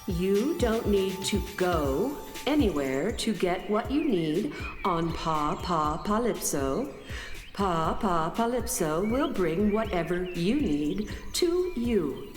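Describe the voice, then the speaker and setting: Sentient starship-planet, played by a woman: serene, grand, unhurried lilt drenched in echo, music underneath, children's radio drama. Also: medium pitch, very animated. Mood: gracious. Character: serene, self-assured female intelligent starship merged with living planet. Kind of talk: children's audio drama acting. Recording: big echoing reverb over music.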